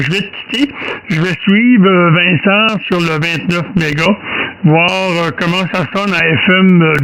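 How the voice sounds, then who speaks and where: A man talks casually as an amateur radio operator, halting and repeating his phrases. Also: high-pitched, vocal fry, a bit bright, low-quality recording. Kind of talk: casual radio contact chat. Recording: faint radio noise and clicks.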